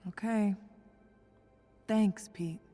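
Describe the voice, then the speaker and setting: A woman's voice: mid-range voice, steady tone, very slow, mellow, faint music behind. A woman playing a protective, determined young ally in a video game, reassuring and firm in a casual way. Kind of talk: naturalistic video game acting.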